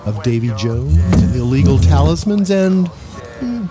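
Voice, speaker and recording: Wisecracking pulp reporter, high swooping voice, flippant, noir radio drama. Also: male voice, sing-song, measured pace, loud music behind the voice. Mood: wheedling, teasing. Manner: flippant, chuckling, theatrical. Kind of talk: noir-style audio drama acting.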